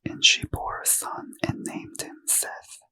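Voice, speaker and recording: A man reading scripture aloud as a soft, slow ASMR whisper, gently lilting. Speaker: reader.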